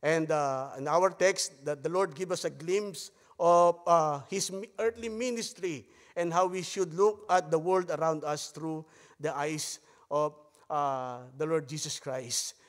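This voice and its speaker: A man from the Philippines with a Filipino accent and Tagalog as his first language, preaching a sermon at a pulpit microphone with frequent hesitations. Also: fairly high pitch. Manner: halting delivery with frequent hesitations; softer, hushed tone while praying. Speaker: visiting missionary pastor. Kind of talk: sermon with Bible reading and prayer. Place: church sanctuary. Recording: long church hall reverb.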